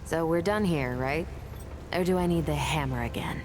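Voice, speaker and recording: An actress playing a gruff, prickly weapons tinkerer, an impatient loner in a video game, with blunt sardonic delivery. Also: female voice, deep voice, normal speed, noisy background, close to an everyday voice. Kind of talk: video game character dialogue.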